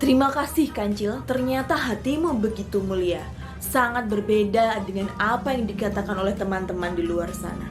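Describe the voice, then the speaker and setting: A woman's voice: mid-range voice, normal speed, over music, close to an everyday voice. A woman voicing a gullible, hungry crocodile in a children's storybook, blunt and naive. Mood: naively pleased. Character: gullible, hungry crocodile, grumpy but trusting.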